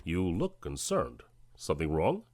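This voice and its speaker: An actor playing a stern, calculating government director in an audio drama, clipped, deliberate and authoritative. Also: male voice, sits low, wildly swooping pitch, darkish tone, dry recording, studio quality, full character voice. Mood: brisk, probing.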